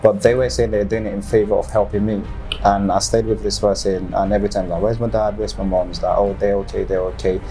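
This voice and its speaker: Soft-spoken Nigerian man, low voice. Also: level tone, faint music behind, rough recording. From northern Nigeria. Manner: earnest, halting, with many ums. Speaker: guest, football player. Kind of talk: personal story in an interview.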